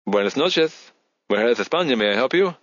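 An effervescent Spanish female receptionist, as a male reader plays her, polite and chatty. She is apologetic yet businesslike, with a lifted pitch. The storybook recording sounds dull and boxy. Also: lively intonation, fairly quick, fairly bright tone, no room sound, natural voice. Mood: welcoming.

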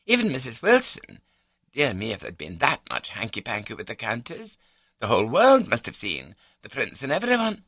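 A man's voice: medium pitch, wildly swooping pitch, moderate pace, a bit bright, dry recording, studio quality, voice pushed up, heavily acted. A man voicing a prim, scatterbrained elderly Scottish wife in an audiobook, prattling on in an unruffled, matter-of-fact way with a Scottish accent. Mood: placid, confiding. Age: older.

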